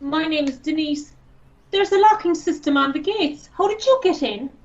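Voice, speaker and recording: A woman's voice: high voice, animated, normal speed, dull audio. A woman playing a curt, unbudging stable worker in a radio play, firm and unimpressed. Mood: businesslike, impatient.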